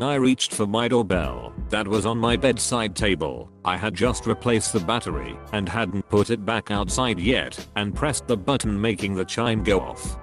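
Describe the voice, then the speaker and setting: A man narrating first-person stories in a steady, even read-aloud delivery. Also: lowish voice. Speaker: narrator reading online stories.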